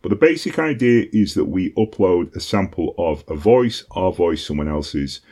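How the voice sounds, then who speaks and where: A British man with a very low, deep voice walks through a lesson in a relaxed, conversational way. He fills his pauses with ums and checks in with an "okay?" now and then. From Britain. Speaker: online course instructor. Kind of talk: casual tutorial explanation.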